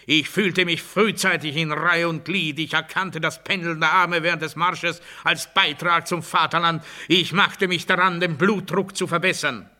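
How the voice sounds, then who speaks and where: An actor playing a pompous authoritarian demagogue in a satirical radio play, giving a declamatory, bureaucratic and commanding speech. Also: male voice, sits high, bright, forceful tone, heavily acted. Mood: proud, doctrinaire. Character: pompous authoritarian male demagogue drilling the masses.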